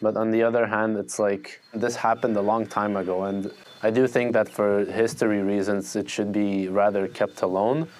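A young man answers interview questions in a relaxed, hesitant way. His voice sits low and stays flat, barely rising or falling. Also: moderate pace. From Lithuania. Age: young adult. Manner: relaxed, hesitant, with frequent "like" fillers.

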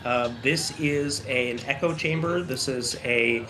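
A man, a policy analyst guest on a news program, answering in a thoughtful, halting way with fillers. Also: mid-range voice, noisy background. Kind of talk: news interview.

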